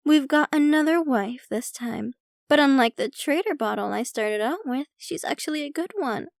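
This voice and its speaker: A woman playing a possessive, centuries-old vampire girlfriend in an intimate ASMR roleplay, speaking in an unhurried, doting yet casually sinister way. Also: high voice, expressive, creaky, no room sound, clean studio sound. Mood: pleased. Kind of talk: ASMR roleplay, intimate scripted monologue to the listener.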